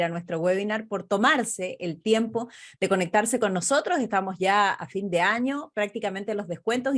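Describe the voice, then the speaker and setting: Polished woman moderator. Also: medium pitch. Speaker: webinar moderator. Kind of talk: formal introduction. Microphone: video call.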